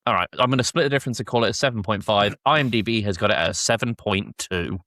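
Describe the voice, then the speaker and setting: A man's voice: on the low side, fast, fairly bright tone, dry recording. A man hosting a podcast, chatting casually and recapping episodes in a jokey, informal way. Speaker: podcast host.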